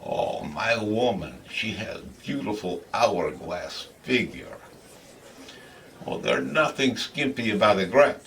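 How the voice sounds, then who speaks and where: A man's voice: lowish voice, slow, creaky, far from the mic, slight background noise, heavily acted. A man playing a jolly, crude comedy host who tells stories in chuckling broken English. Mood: gleeful, teasing. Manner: broken grammar, chuckling, animated storytelling. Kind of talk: comic monologue in character.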